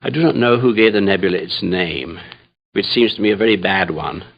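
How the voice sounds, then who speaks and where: Radio drama lead: grave priest-astrophysicist in spiritual doubt, husky and low, reflective and measured delivery. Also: male voice, muffled recording. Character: troubled, grave Jesuit astrophysicist priest aboard a starship. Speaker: dramatic narrator. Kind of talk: first-person radio drama monologue.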